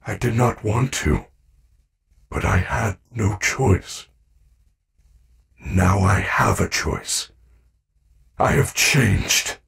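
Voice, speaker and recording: A man voicing a gentle, earnest animatronic bear in a video game, speaking formally and carefully with few contractions. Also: fairly low pitch, extremely slow, gravelly, pauses often, husky, recorded from a distance, full character voice. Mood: earnest, concerned.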